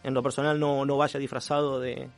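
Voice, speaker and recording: A man with a Rioplatense accent in a casual radio conversation as a columnist, analytical and halting, using formal vocabulary. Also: medium pitch, flat delivery, soft background music. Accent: Rioplatense Spanish. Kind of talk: casual on-air conversation about comics and philosophy.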